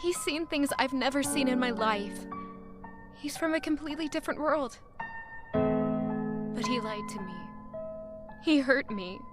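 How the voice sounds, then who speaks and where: An actress playing a troubled young noblewoman, speaking her inner thoughts in a quiet, reflective monologue that turns pained. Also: high-pitched, lively intonation, a little slow, long pauses. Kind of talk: audio drama narration. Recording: clear music under the voice.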